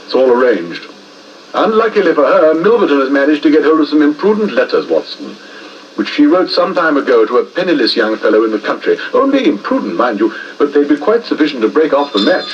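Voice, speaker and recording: An actor playing a refined, incisive gentleman detective with an English accent, courtly and precise, in a 1950s radio drama. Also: a little high, big pitch swings, fairly quick, recorded from a distance. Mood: grave, explanatory. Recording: muffled, hissy 1950s radio recording.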